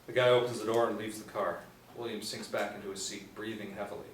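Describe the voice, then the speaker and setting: A man in his forties reads script narration in a low voice. The recording is roomy and a little distant. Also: steady tone, fast. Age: 40s. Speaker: narrator of stage directions. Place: small room indoors. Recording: roomy, distant mic, faint noise.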